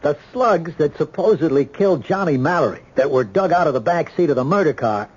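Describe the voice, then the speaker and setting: An actor with an American accent playing an earnest, self-blaming police lieutenant, plain-spoken and sincere, in a radio drama. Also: male voice, on the high side, lively intonation, fairly quick, darkish tone, husky. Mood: explaining, rueful. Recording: dull lo-fi old radio recording.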